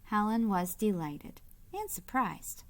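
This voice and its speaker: A woman reading a children's picture book aloud like a teacher, with expressive, deliberate phrasing and short asides to young listeners. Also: mid-range voice. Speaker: read-aloud storyteller, teacher-like.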